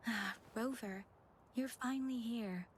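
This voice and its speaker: An actress voicing a gentle, courteous young woman in a video game, speaking politely and softly. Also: a little high, unhurried, a little hiss or hum, lightly acted. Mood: welcoming.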